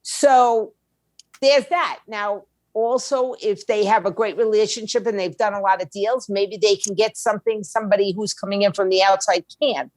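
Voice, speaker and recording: Female interview guest, mid-pitched, blunt and emphatic, conversational, recorded dry. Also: steady tone, normal speed, dry recording. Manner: blunt, opinionated. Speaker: guest, music label owner and attorney.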